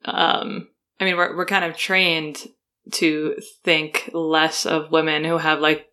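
A woman's voice: low-pitched, level tone, slow pace, pushed and bright. A woman co-hosting a podcast, talking frankly and informally and swearing casually. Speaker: podcast co-host. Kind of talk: casual interview chat.

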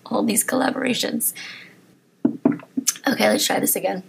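A woman's voice: medium pitch, normal speed, vocal fry, noisy background. A young woman hosting a solo podcast, talking earnestly and encouragingly to her listeners while losing her voice. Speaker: podcast host. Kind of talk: motivational solo podcast talk.

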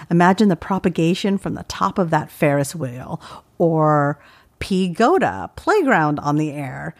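Low voiced lady cohost reading listener mail, laughs a lot, casual. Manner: animated, laughs often. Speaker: podcast co-host.

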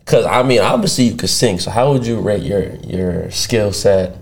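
A man's voice: lowish voice, animated, moderate pace. A man hosting a casual chat show with a slangy, easygoing, hyped-up way of talking. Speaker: show host. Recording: noisy room with clear background noise.

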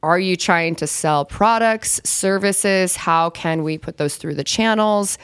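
A low-voiced young woman, her voice raspy as it starts to go. She explains her business frankly in long, flowing stretches. The sound is close and dry, with a smooth, full tone under the rasp. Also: measured pace, dry recording. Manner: candid, talks in long run-on explanations. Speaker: podcast guest, brand consultant. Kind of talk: casual podcast chat.

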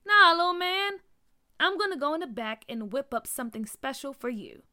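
A woman's voice: high-pitched, animated, fairly quick, a bit bright. A woman voicing a friendly, easygoing uncle who runs a shop, with familiar, slangy talk in a children's story. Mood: upbeat.

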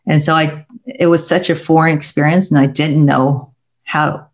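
A woman's voice: low voice, steady tone. Reflective Taiwan-born woman telling her story. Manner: reflective, halting, with small restarts. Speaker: guest. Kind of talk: conversational interview storytelling.

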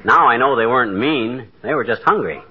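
An American man playing a lovable, bumbling, excitable wisecracking husband in 1940s radio comedy, blustery and joking. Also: medium pitch, a little fast, lightly acted. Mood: amused. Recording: noisy, muffled 1940s radio recording.

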